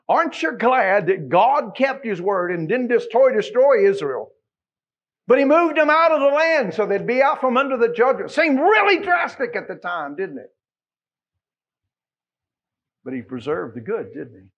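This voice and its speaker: A middle-aged American man preaching a Baptist sermon, emphatic, moving between quiet asides and loud exclamations and quoting scripture. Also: high-pitched, muffled recording. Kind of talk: Sunday sermon with scripture quotations. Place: small church sanctuary, at the pulpit.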